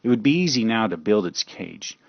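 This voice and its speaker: A man's voice: fairly low pitch, very animated, fairly quick, vocal fry, no echo, muffled recording. A man narrating a first-person radio story in a theatrical, brooding manner. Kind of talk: dramatic first-person fan fiction narration.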